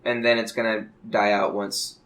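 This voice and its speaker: A man's voice: fairly low pitch, flat delivery, normal speed, fairly bright tone. A man in a casual podcast chat about a card game, speaking as an enthusiastic, jokey co-host.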